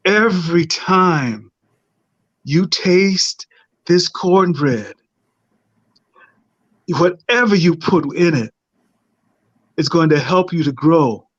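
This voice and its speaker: A man's voice: on the high side, no room sound. A man as a tender parent blessing his baby. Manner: slow, soothing, half-sung blessings. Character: tender father figure feeding his baby girl. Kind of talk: improvised playback theatre. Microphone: video call. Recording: dull lo-fi call audio.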